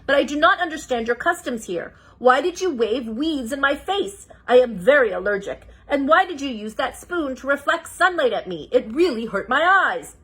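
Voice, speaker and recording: A shy, polite man visiting from abroad speaks in careful, formal sentences, as a woman reads him. The voice stays slightly breathy and a bit raised. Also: high-pitched, sing-song, moderate pace, hardly any pauses, fairly bright tone, pitched above the performer's own voice, heavily acted. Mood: apologetic.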